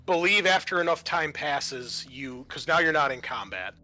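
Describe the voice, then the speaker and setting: A man's voice: a little high, lively intonation, normal speed, sharp tone. A man narrating a tabletop horror game as game master, moving between vivid storytelling and casual rules talk.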